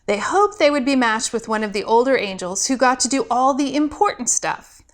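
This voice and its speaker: A woman's voice: on the high side, lively intonation, moderate pace. A woman, a pastor and host, reading a scripted story aloud as a storyteller.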